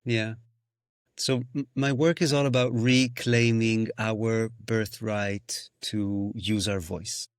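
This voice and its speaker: A man's voice: lowish voice, steady tone, slow, clean tone, dry recording, clean studio sound. A man whose first language is Hebrew, a musician and singing-circle facilitator, talking thoughtfully in a podcast conversation, often restarting phrases and slipping into sung sounds.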